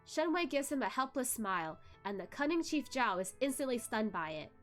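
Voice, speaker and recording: A woman's voice: a little high, very animated, fairly quick, fairly bright tone, soft background music. A young adult woman hosting a read-along, narrating a novel. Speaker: book club host reading aloud.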